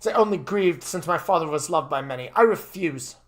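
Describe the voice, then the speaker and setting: A man's voice: fairly high pitch, expressive, normal speed, voice pushed up, natural voice. A man voicing a grieving young upper-class heir in an audio story, sullen, pleading and argumentative. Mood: defiant.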